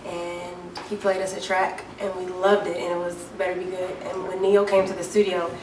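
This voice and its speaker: A woman singer giving a casual interview, talking in a deep, low speaking voice with many easygoing fillers. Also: moderate pace. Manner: relaxed and chatty, many fillers like "um" and "you know". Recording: echoey room, mic far off, clear background noise.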